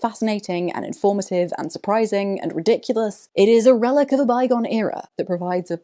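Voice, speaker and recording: A woman hosting a vlog, giving scripted commentary and reading aloud from a book in a measured, articulate way. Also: mid-range voice, mellow. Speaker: vlog host.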